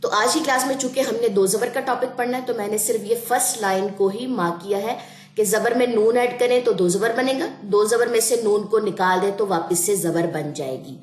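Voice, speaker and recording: A woman teaching a Quran reading class, explaining patiently and sounding out letters slowly. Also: medium pitch, darkish tone, reverberant. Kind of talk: online class lesson.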